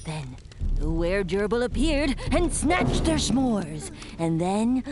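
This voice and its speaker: A woman's voice: medium pitch, very animated, takes it slowly, background noise. A woman playing a dramatic cartoon pet telling spooky campfire tales in a suspenseful manner, with music behind. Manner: hushed, suspenseful storytelling.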